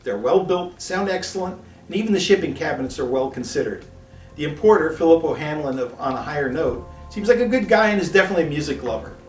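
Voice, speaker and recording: A man presenting a scripted product review in a measured, articulate way with formal wording. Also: a little high, expressive. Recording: echoey room, distant mic, music behind.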